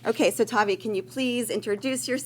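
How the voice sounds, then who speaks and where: A woman's voice: fairly high pitch, fairly quick, a little hiss or hum. A woman speaking as the host of a student research conference, giving semi-formal remarks to the room in an encouraging manner. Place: live conference room with audience. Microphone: event microphone.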